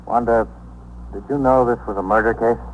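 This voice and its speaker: An actor playing a firm, businesslike police lieutenant in a 1950s radio drama. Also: male voice, medium pitch, steady tone, lightly acted. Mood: stern, controlled. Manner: stern, measured, authoritative. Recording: muffled, noisy 1950s radio recording.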